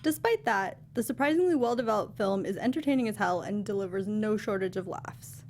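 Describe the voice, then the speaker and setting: Young woman co-hosting, medium pitch. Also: brisk, a little mellow, a little hiss or hum. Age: young adult. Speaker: show co-host. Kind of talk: casual movie discussion. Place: small indoor podcast studio. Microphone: close studio microphone with foam cover, headset monitoring.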